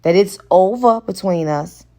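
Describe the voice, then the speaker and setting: A seductive, coy woman from a romance audiobook, as a female narrator acts her out. She is playful and flirty, with a slow, lingering delivery. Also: mid-range voice, dark tone, lightly acted. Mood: frank.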